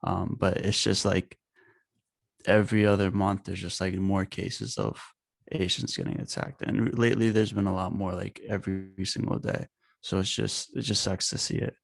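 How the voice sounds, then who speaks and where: Deep gravelly young man, halting speech. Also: level tone, no echo. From Bangladesh. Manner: halting, filler-heavy, says like a lot. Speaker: podcast guest. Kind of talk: casual podcast chat.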